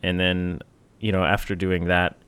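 A man with a very low voice talks through software plans in a loose, relaxed podcast chat. He fills his sentences with "uh" and often restarts them. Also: unhurried, clear, resonant tone. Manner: relaxed, many uh fillers and restarts. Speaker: podcast co-host, software team member. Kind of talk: casual tech podcast chat.

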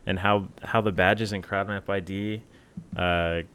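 A man with a very low voice talks through software plans in a loose, relaxed podcast chat. He fills his sentences with "uh" and often restarts them. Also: a little slow, clear, resonant tone. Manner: relaxed, many uh fillers and restarts. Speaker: podcast co-host, software team member. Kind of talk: casual tech podcast chat.

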